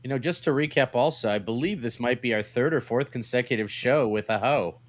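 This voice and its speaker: A man's voice: medium pitch, brisk. A man co-hosting a podcast with casual, joking banter. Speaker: podcast co-host.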